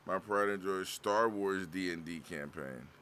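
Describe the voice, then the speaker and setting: A man in his thirties, a streamer chatting casually, joking and swearing through lively banter. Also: low-pitched, measured pace, a little hiss or hum. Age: 30s. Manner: joking, profane, animated banter. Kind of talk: casual chat.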